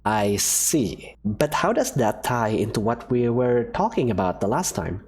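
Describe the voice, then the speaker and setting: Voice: medium pitch, very animated, measured pace, a little mellow, slightly rough, full character voice. A voice actor doing a wary, stammering young man. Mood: uneasy. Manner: hesitant, stammering and worried. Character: young male student hunter, cautious and wary. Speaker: player character in a fan visual novel.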